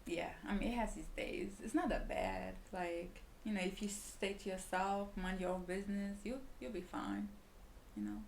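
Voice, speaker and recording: A woman's voice: medium pitch, fairly even intonation, moderate pace, a little mellow, slight background noise. A woman in her twenties with a Swedish accent, chatting casually as a podcast guest, rambling with lots of fillers. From Liberia, raised in Sweden. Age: 20s. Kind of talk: casual chat.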